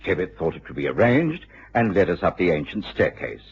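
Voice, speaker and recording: An English actor playing a courteous gentleman doctor and storyteller in a vintage radio drama. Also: deep voice, very animated, fairly quick, breathy edge, full character voice. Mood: measured storytelling. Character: Doctor Watson, courteous English gentleman narrator, easily astonished. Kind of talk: 1950s BBC radio drama acting and narration. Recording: dull vintage radio recording, music.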